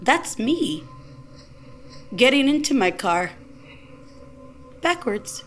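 A bewildered female diner customer in an audio drama. Her high voice swings widely but comes slowly, as if stunned. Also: big pitch swings, fairly bright tone, faint music behind, heavily acted. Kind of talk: naturalistic audio drama acting.

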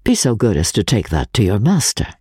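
A woman voicing a determined, urgent Victorian gentleman in a storybook, with a brisk, commanding manner. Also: rumbling low, wildly swooping pitch, breathy edge, no echo, clean studio sound, exaggerated voice. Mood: firm, commanding.

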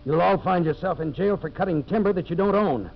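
Blustering American timber boss, high and animated, vintage radio drama, muffled sound. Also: male voice, brisk, gravelly. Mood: cold, accusing. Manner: blustering, insistent. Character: hot-tempered, demanding timber businessman. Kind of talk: 1950s radio drama acting.

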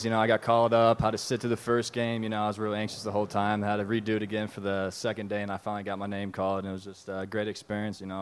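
A man's voice: fairly low pitch, a little hiss or hum. A young man on a panel giving rambling, filler-heavy answers about his first game. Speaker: baseball player panelist.

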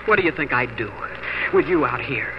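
Homesteader husband played by a man, high voice with animated swings, loving and urgent, vintage radio drama on a noisy recording. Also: fairly quick, natural voice. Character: devoted young homesteader husband, emotional, earnest. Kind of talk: 1940s radio drama acting. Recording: muffled, noisy 1940s radio broadcast.

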